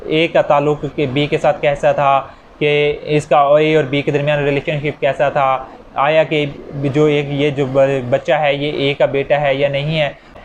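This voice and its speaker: A Pakistani man teaches law in a fairly high voice. He explains step by step with examples and slows down for English legal terms. Some background noise sits behind him. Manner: switches into English legal terms, reading statutes slowly. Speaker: law lecturer.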